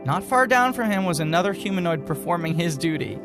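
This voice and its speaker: A man's voice: high-pitched, animated, fairly quick, music in the background. A man co-hosting a podcast, giving a mock-serious dramatic reading and breaking off into casual, amused asides. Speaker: podcast co-host reading aloud.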